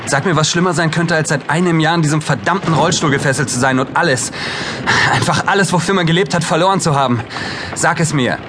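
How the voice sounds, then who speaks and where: An actor playing a brooding, sardonic older brother in an audio drama, both narrating and in dialogue. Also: male voice, a little high, fast talker, noisy background, natural voice. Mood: bitter, angry. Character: bitter, brooding man in wheelchair, older brother. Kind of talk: German audio drama acting and first-person narration.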